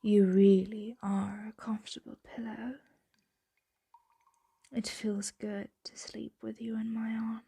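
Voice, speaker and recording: A possessive, tender dragon voiced by a woman murmurs in an intimate ASMR roleplay. The delivery is very slow and coaxing, often sinking to a whisper. The tone is dark and velvety, with a creaky edge, caught close on the mic. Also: medium pitch, level tone, no echo, heavily acted. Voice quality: breathy, soft, often whispering. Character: possessive, tender dragon hoarding a human pet. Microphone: close microphone.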